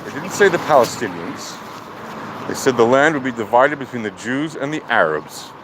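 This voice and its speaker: A man giving opinionated, off-the-cuff political commentary in a deliberate, emphatic, argumentative manner. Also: mid-range voice, sing-song. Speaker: speaker of a political monologue. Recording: loud outdoor traffic or engine noise.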